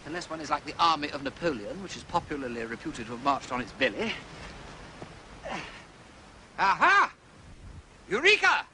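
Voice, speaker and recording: Genial gentleman sleuth, upper-class English accent, wildly animated pitch, effusive courtesy, period drama, faint noise behind. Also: moderate pace, pauses often, exaggerated voice, low-quality recording. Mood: excited. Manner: effusive, urbane, old-fashioned politeness. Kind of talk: period detective TV drama acting.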